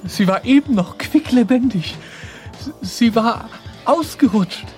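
A man's voice: very high-pitched, expressive, slow, darkish tone, full character voice. Agitated male suspect, pleading account, radio crime drama, light music underneath.

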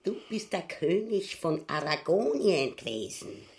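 Creaky, scornful Viennese wife. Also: female voice, fairly low pitch, big pitch swings. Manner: scornful, wheedling, drawn-out mockery. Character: scheming, nagging, coarse, ambitious wife goading her husband. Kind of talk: theatrical satirical radio play acting.

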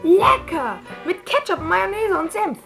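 An actress playing a greedy, excitable little child in a German radio drama. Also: female voice, very high voice, measured pace, vocal fry, faint music behind, heavily acted. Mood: eager, delighted.

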